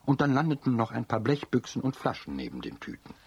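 A man narrating a children's radio play as a scripted storyteller, with a husky voice. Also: medium pitch, wildly swooping pitch, fast talker, muffled recording.

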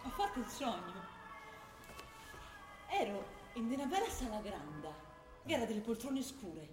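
A troubled young militant woman, speaks in a confiding, dialect-tinged way. Her voice sits a little high. The room is echoey, with faint music underneath. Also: very animated, a little slow. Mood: dreamy. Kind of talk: radio broadcast of a stage play.

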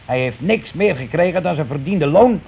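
An actor playing a blunt, self-righteous working-class husband in a radio play, grumbling, bossy and rather pompous. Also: male voice, on the high side, expressive, moderate pace, vocal fry, darkish tone, slightly rough, full character voice. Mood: pompous, accusing. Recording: noisy old radio play recording.

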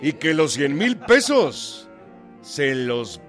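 An actor playing a commanding, defiant, folksy Mexican revolutionary general in a radio drama. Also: male voice, on the high side, big pitch swings, slow, over music. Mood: mocking, defiant.

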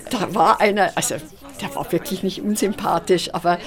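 An actress playing a chatty, soft-hearted older woman at a café in a naturalistic radio drama. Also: lowish voice, steady tone, normal speed, close to an everyday voice. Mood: chatty, touched.

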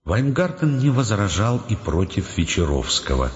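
An actor as the grave storyteller in a Russian audio drama, reading scripted literary narration of a tense novel. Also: male voice, on the low side, very animated, moderate pace, lo-fi sound. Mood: measured, tense.

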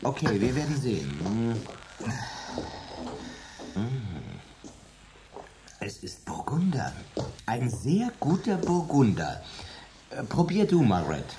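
A man's voice: medium pitch, very animated, slow, pauses often, background noise. An actor playing a jovial, self-assured dinner guest who loves his wine, in a radio play. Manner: hearty, joking, self-assured. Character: jovial middle-aged dinner guest, man, wine lover.

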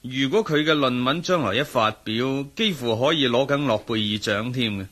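An actor playing a sociable, sceptical agency chief in a Hong Kong Cantonese radio drama, talking in an emphatic, conversational way. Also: male voice, medium pitch, moderate pace, fairly bright tone, close to an everyday voice. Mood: surprised, curious.

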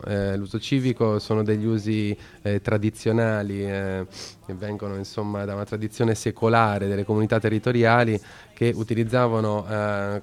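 A man hosting a radio talk, speaking hesitantly with many pauses and filler sounds. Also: fairly low pitch, a little mellow, smooth. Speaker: radio host.

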